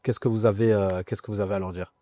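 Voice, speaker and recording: A male interviewer presses his guest with quick, direct questions. He talks fast, and his voice has a relaxed, warm colour. The recording is dry and close to the mic. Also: fairly low pitch, dry recording. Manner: rapid, pointed questions. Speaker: host.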